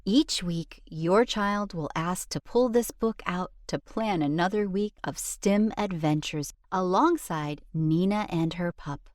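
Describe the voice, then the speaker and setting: A woman reading a rhyming children's book aloud in an expressive, sing-song storyteller's delivery. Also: on the low side, slow pace, hardly any pauses, dry recording, studio quality.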